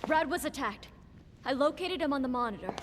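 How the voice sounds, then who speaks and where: A woman's voice: high voice, expressive, fairly quick, noisy background. A woman playing a polite, guarded young agent, courteous and matter-of-fact, in a video game cutscene. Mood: concerned.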